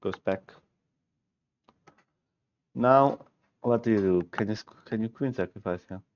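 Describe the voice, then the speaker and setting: A man's voice: on the low side, a little mellow, lo-fi sound, a little hiss or hum. Swiss German man musing over chess puzzles. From Switzerland. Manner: musing, halting, self-correcting, talks to himself. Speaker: chess streamer. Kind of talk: thinking aloud while solving chess puzzles, chatting with viewers. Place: small room at home, indoors. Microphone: headset.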